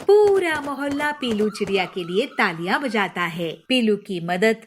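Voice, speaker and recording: A woman's voice: medium pitch, big pitch swings, unhurried, slight background noise. A woman narrating a scripted cartoon story as a storyteller.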